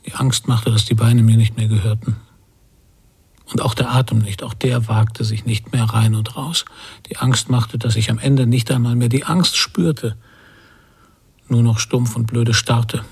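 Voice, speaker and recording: An actor playing an anxious, brooding emigrant husband in a radio drama, speaking in halting fragments with a husky, breathy voice that often nears a whisper. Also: male voice, mid-range voice, steady tone, darkish tone, full character voice. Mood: ashamed, anxious. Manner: halting, introspective, short fragments. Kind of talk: literary radio drama monologue.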